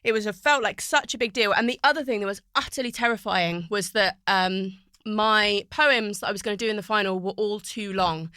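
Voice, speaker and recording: A woman's voice: medium pitch, fairly quick, few pauses, pushed and bright, clean tone, dry recording, clean studio sound. A woman guest on a podcast, a poet and TV writer, telling her story in a fluent, reflective way. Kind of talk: casual podcast conversation. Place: recording studio.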